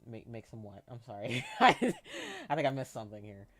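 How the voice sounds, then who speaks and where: A man in his twenties chatting casually on a live stream, answering viewers in a rambling, halting way with lots of fillers and restarts. He has a youthful, boyish-sounding voice. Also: mid-range voice, wildly swooping pitch, fairly bright tone. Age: 20s.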